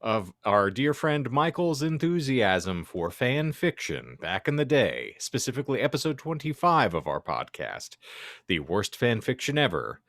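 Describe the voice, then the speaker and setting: A man's voice: mid-range voice, sing-song, unhurried, a bit bright, dry recording. A man hosting a podcast, delivering a theatrical introduction with wordy, mock-grand phrasing and casual banter. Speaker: podcast host.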